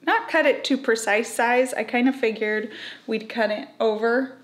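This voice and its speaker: A woman's voice: a little high, normal speed. A young woman casually chatting on camera about a home renovation, with frequent laughs and admitted nerves. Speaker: vlogger.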